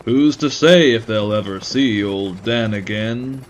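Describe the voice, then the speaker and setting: A man narrating a western story in a measured, folksy scripted style. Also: fairly low pitch, a little hiss or hum. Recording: slightly dull recording.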